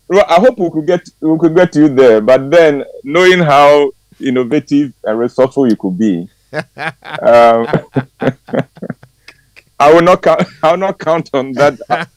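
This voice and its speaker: A man in his sixties from Ghana, with a Ghanaian accent, chatting on morning radio and reading a reflective commentary in a measured way with hesitant ums. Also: fairly high pitch, animated, dry recording, low-quality recording. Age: 60s. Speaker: regular commentary guest. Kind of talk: warm radio chat and read commentary.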